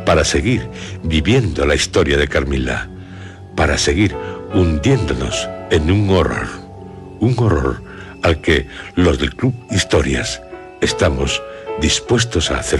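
Very deep-voiced Spanish horror host. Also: male voice. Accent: Castilian Spanish. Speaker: radio drama host. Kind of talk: solemn scripted horror narration. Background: prominent music.

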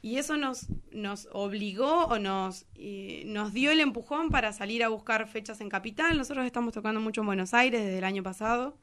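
High-voiced Argentine woman, casual musician chat. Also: moderate pace, hardly any pauses, a bit bright. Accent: Rioplatense Argentine Spanish. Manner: colloquial, slangy. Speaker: musician being interviewed as guest. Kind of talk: casual radio interview chat.

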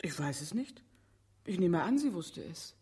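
An actress in a radio drama playing an anxious, reluctant female witness, speaking in a breathy, hesitant, emotional way. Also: on the low side, very animated, unhurried, warm tone, exaggerated voice. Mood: quiet, defensive. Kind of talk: German radio drama acting.

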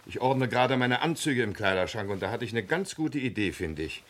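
A man's voice: mid-range voice, lively intonation, brisk, fairly bright tone, close to an everyday voice. A man playing a middle-aged father in a radio play, coaxing and persistent, a little awkward. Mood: coaxing, insistent. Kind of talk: German radio play acting. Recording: clear background noise, old radio recording.

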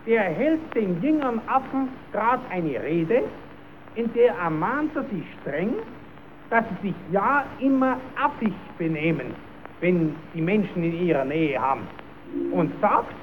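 Casting: cheeky puppet clown, stammering tale-spinner with very lively pitch swings, thin hissy old recording. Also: unhurried. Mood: hushing, secretive. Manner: stammering, repeating himself, improvising tall tales. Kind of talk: German radio play acting for children. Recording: tinny, narrowband, hissy old radio recording.

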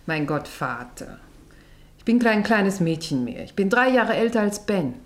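Expressive young farm daughter. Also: female voice, mid-range voice, measured pace. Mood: defiant. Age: young adult. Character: farmer's grown daughter, warm-hearted but defiant. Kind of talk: German radio drama acting.

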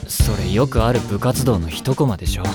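A man's voice: fairly low pitch, fairly quick, over loud music, lightly acted. A man playing a coolly sarcastic teenage boy in an anime drama CD, mocking and dry. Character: tall sarcastic teen boy, coolly dismissive.

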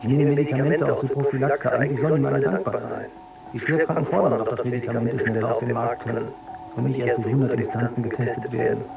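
A man's voice: mid-range voice, steady tone, fast, vocal fry, over loud music, close to an everyday voice, poor audio. An actor playing an anxious, defensive male hypochondriac in a radio drama, rambling and self-justifying. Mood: aggrieved, anxious.

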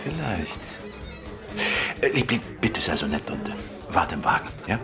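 An actor playing a courteous, urbane gentleman detective with a composed manner in a radio crime drama. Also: sits low, big pitch swings, moderate pace, music in the background. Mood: composed, inquiring. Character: suave, courteous male gentleman amateur detective.

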